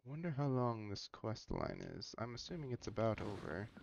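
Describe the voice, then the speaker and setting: A man's voice: on the low side, very animated, normal speed, gravelly, a little mellow, a little hiss or hum. A man giving casual game commentary and reading dialogue aloud, on a dull-sounding close mic with faint music. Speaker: gamer commentating a playthrough.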